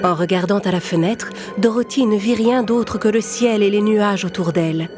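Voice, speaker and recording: A woman's voice: medium pitch, normal speed, over music. A woman reading a scripted children's story as narrator.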